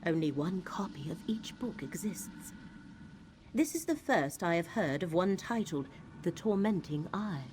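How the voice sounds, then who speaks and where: Serious mystic companion from a game, formal, lowish voice, bit of hiss. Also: female voice, expressive, a little mellow. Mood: explanatory, solemn. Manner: measured, formal, earnest. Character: solemn, cryptic female seer companion. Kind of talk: video game character acting.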